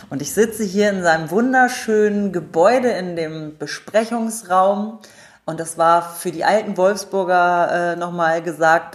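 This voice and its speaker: A woman hosting a podcast interview, introducing her guest and asking questions in an informal, improvised conversational way. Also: fairly low pitch.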